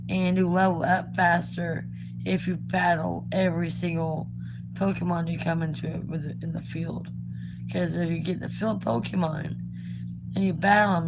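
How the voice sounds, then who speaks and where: Raspy young woman chatting while drawing. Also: fairly low pitch, rough recording. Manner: rambling, many ums and likes. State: raspy from recent illness. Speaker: art vlogger. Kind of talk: casual chat while drawing.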